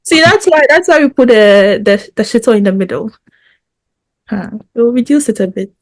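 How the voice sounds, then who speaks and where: A woman's voice: on the high side, moderate pace. A woman from Ghana on a podcast panel, answering playfully and briefly. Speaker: podcast guest. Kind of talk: casual panel chat.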